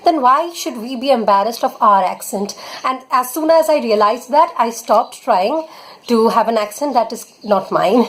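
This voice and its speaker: High-voiced Indian woman teaching English. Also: poor audio. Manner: earnest, advising, leans on fillers like "basically" and "honestly". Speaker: English tutor. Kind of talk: vlog-style spoken English lesson.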